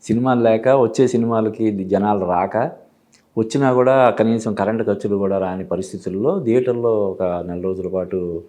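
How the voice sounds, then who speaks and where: Lowish-voiced male analyst, explaining. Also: moderate pace, dark tone. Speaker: film industry analyst, studio guest. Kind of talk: interview commentary. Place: TV studio.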